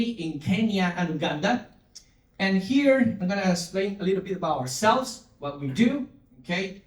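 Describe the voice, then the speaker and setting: Man giving a keynote in an echoey hall. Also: a little high. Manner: engaging, asks audience to respond, many fillers and restarts. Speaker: conference speaker, company CTO. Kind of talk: conference keynote talk. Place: large conference hall. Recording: echoey hall, distant mic.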